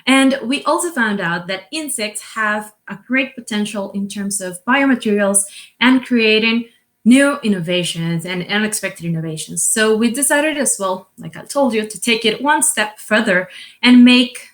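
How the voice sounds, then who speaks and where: A woman's voice: medium pitch, moderate pace. Woman presenting her company. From Costa Rica. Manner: earnest, explanatory, with frequent fillers and restarts. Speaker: company co-founder and CEO. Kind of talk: business presentation.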